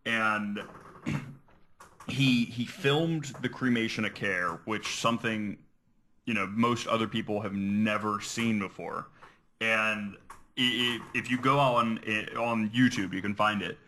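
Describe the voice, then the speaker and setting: A man's voice: fairly low pitch, sharp tone, a little hiss or hum. A man hosting a podcast, earnestly explaining researched material and sometimes stumbling over his words. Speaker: podcast host. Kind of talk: reading researched notes, explanatory podcast talk.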